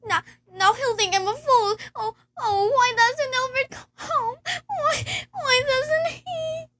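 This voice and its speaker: A woman's voice: very high-pitched, slow, a bit bright, exaggerated voice. A woman playing a nervous, demanding invalid wife in an amateur radio play, stammering and insistent. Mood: frantic, exasperated.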